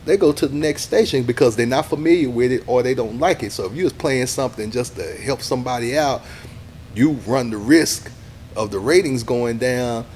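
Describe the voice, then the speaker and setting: A middle-aged man, a radio DJ talking casually in an interview, animated and acting out what other people say. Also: mid-range voice, normal speed, slight background noise. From Texas, USA. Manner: animated, acts out quoted people, says "you know what I'm saying" a lot.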